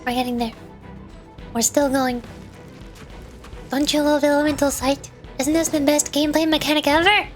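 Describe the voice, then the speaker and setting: A woman's voice: high voice, fairly even intonation, moderate pace, long pauses. A woman live-streaming a game, chatting and reading dialogue aloud with playful, sarcastic asides. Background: game music.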